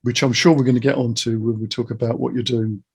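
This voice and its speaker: Middle aged guy hosting a loose chat, kinda husky, thinks out loud and circles round ideas. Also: mid-range voice, fairly even intonation, fast. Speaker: interviewer, guitar teacher. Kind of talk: casual chat, musing.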